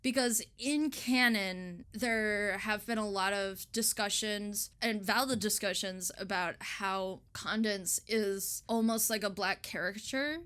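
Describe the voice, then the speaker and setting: A woman chatting casually as an interviewed artist, agreeable and quick to affirm what is said. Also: medium pitch, fairly even intonation, a bit bright. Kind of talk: casual chat.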